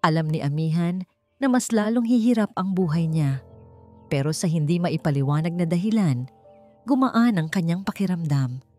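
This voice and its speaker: Female narrator for dramatic fiction, low and soft-toned, over faint music. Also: moderate pace. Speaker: storyteller. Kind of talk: scripted dramatic story narration.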